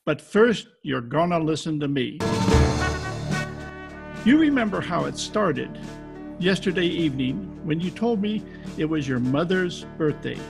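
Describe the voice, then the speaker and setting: A man's voice: fairly high pitch, expressive, unhurried, gravelly, pauses often, over music. A man playing a tough, sardonic private detective in old-time radio drama style, recorded at home over a video call. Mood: wry storytelling. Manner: hard-boiled, sardonic. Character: tough, wisecracking male private detective, impatient with sentiment.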